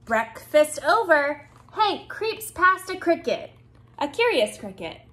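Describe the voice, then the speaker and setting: A woman in her thirties reading a picture book aloud to young children with expressive, deliberate phrasing. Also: sits high. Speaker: children's storytime reader. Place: small indoor room with bookshelves.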